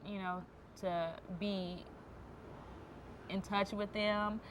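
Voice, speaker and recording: A woman's voice: medium pitch, flat delivery, extremely slow, slight background noise, low-quality recording. A young American woman talking candidly about her own life in an interview. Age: young adult. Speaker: interviewee, former foster youth. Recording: music bed under the voice.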